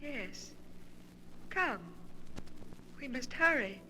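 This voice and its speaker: An actress playing the seductive, commanding ghost of a dead lover with slow, deliberate melodrama in a 1940s radio horror show. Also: female voice, high voice, expressive, bright, forceful tone, full character voice. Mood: urgent, cruel. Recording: noisy vintage 1940s radio recording.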